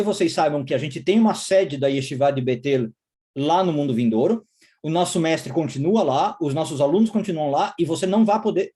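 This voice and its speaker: A man's voice: a little high, mellow. A man from Israel giving a course lecture, explaining step by step and often checking in with short tag questions.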